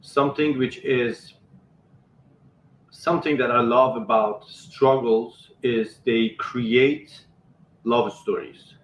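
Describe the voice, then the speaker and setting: A man's voice: mid-range voice, steady tone, slow pace. Reflective man hosting in an echoey room. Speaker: show host. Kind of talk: casual interview.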